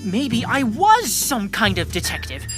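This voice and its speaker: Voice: mid-range voice, huge pitch swings, unhurried, music in the background. An actor playing a precocious, pompous middle-school would-be detective in a children's audio drama, speaking in formal, bookish language. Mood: earnest narrating. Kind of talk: scripted children's audio drama, first-person narration and dialogue.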